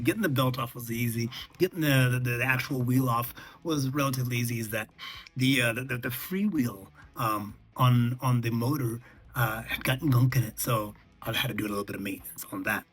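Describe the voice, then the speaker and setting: A man vlogging in a casual, conversational way, recounting lessons learned and often checking in with the listener, with a husky voice. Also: medium pitch, a bit bright. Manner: conversational, checks in with "okay" and "all right". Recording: music behind the voice.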